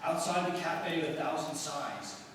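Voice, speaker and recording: Noisy echoing radio recording, earnest British gentleman, excitable, lively high voice, 1940s drama. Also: a little slow. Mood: narrating. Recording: echoey, distant old radio recording, clear noise.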